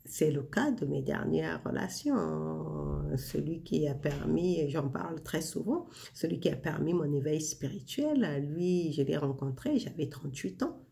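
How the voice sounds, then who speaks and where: A woman in her forties shares reflections in a casual vlog, talking softly and directly to the listener. Her delivery is slow and relaxed, with a warm, dark tone. A gravelly fry runs through her voice, and she fills pauses with "hein" and "euh". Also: deep voice. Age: 40s. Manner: intimate, addresses the listener directly, many fillers. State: winding down before bed. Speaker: vlogger sharing inspiration.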